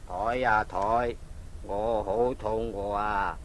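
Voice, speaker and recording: A man's voice: fairly low pitch, fairly even intonation, takes it slowly. An actor with a Hong Kong accent playing a fatherly old craftsman in a children's audio drama. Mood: proud, demonstrating. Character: old craftsman, fatherly elder in a fairy tale. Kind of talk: 1980s audio drama acting for children's storybook. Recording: faintly hissy old 1980s cassette tape.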